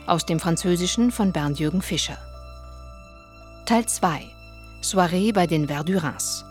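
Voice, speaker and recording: Radio drama announcer, female, formal credit reading, slightly low voice, music clearly underneath. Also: a little slow. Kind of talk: scripted announcement of title and cast.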